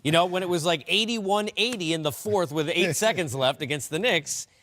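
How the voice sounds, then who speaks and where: A man's voice: high-pitched, moderate pace, fairly bright tone. A man hosting a casual studio sports discussion, putting questions to his guests. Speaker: sports show host.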